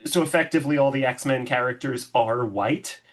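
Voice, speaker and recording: A woman with a deep voice co-hosting a podcast, speaking earnestly with frequent "like" fillers. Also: moderate pace. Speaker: podcast co-host. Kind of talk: casual podcast discussion.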